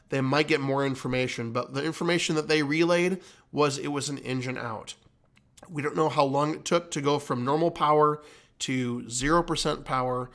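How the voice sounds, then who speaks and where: A man's voice: a little high, a bit bright. A man giving an explanatory commentary like an instructor, teaching emphatically and repeating key points. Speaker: flight instructor and host.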